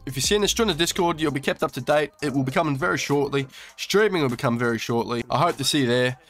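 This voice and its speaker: A man's voice: mid-range voice, fast, fairly bright tone, soft background music. A young Australian man with a slightly nasal voice from a cold, casually commentating over his gameplay in a crude, laid-back, swearing manner. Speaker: gaming YouTuber.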